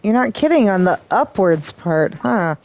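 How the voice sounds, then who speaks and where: Creaky, lively woman streamer reacting to a game. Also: medium pitch, unhurried, low-quality recording. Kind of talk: casual gameplay reaction commentary.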